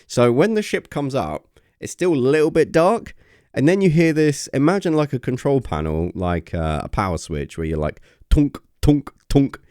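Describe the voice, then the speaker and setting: A man's voice: mid-range voice, big pitch swings, moderate pace, a little mellow, no echo, studio quality. A man casually talking about a game update on his channel, enthusiastic and rambling with lots of fillers, his voice getting a little worn. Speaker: gaming channel host. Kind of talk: casual vlog commentary.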